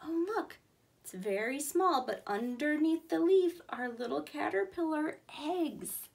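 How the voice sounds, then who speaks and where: A woman in her thirties, a preschool teacher reading aloud to young children in simple, clearly emphasized words. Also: sits high, lively intonation, a little slow, darkish tone.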